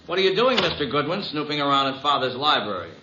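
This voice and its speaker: An actor playing a young, defensive, petulant stepson with an American accent, flustered and indignant in old radio drama. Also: male voice, a little high, big pitch swings, quick pace. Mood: startled, defensive. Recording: muffled, noisy old radio recording.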